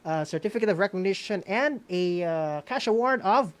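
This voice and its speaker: A woman's voice: mid-range voice, animated, moderate pace. A woman with a Filipino accent co-hosting an awards announcement, mixing English with Visayan and tagging phrases with "no?". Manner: mixes English with Visayan, frequent "no?" tags. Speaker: co-host of an online awards ceremony. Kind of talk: announcing award winners, semi-scripted.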